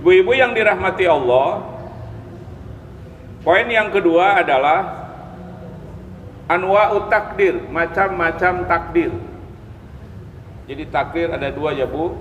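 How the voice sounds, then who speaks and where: Man, Islamic teacher lecturing; slow, instructive delivery with repeated phrases, recorded in an echoing hall with some background noise. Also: high-pitched, a bit bright. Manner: didactic, repeats key phrases, pauses to check with listeners. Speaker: Islamic preacher, religious teacher. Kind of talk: sermon, religious lecture to a study group. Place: mosque hall, live religious gathering. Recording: echoey hall with long reverb, background noise.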